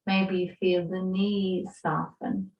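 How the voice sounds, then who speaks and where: A woman yoga instructor leading a guided relaxation in a soothing, gentle, unhurried way with pauses. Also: mid-range voice, little pitch movement, muffled recording, soft background music. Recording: roomy echo, distant microphone.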